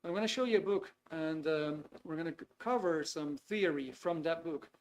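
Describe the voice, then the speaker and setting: A Dutch-accented man in his fifties, a native Dutch speaker, hosts a live webinar. His voice sits slightly higher than most men's, and he speaks in a loose, friendly way full of ums and restarts. A faint background hiss sits under his computer mic. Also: moderate pace. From Netherlands. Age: 50s. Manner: easygoing, chatty, frequent hesitations with ums. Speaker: webinar host. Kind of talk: live online webinar talk. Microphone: video call computer mic.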